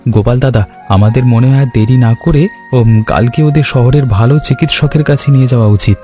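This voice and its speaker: A man's voice: mid-range voice, fairly quick, warm tone, faint music behind, close to an everyday voice. A man voicing a caring, worried village farmer husband in a scripted cartoon story. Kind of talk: scripted animated story dialogue.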